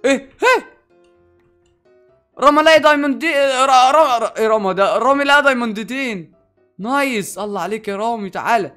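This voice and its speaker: A young man with an Egyptian Arabic accent hosting a gaming video in an animated way, addressing viewers and repeating phrases. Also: very high voice, fast talker, soft background music. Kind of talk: excited gameplay commentary.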